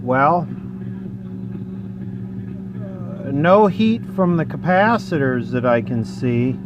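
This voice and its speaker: A man at his workbench talks casually through a repair job, thinking aloud as he explains the technical details. His voice sits at an ordinary middle pitch. A faint background noise runs under him. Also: animated, slow pace, lo-fi sound. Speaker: radio repairer.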